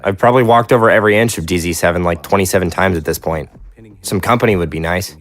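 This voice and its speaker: An actor playing a bored, restless teenage son in an audio drama, talking casually and pushing for company. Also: male voice, sits low, fast, close to an everyday voice.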